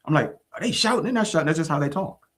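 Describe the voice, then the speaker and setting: A man speaking calmly and evenly about standing firm in his beliefs on a faith podcast. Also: a little high. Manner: calm, measured, lively intonation. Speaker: podcast co-host. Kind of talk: casual faith discussion.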